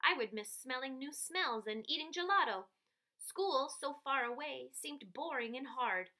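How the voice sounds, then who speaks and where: A woman reading a picture book aloud for children as an expressive, explanatory storyteller. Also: high voice, unhurried. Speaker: read-aloud host and storybook reader.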